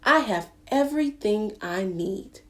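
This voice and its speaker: A fussy storybook duck gentleman , voiced by a woman reading aloud, very particular about his peace and quiet. He speaks slowly and emphatically, with big swings in pitch and a huffy, orderly air. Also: lively intonation. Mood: contented.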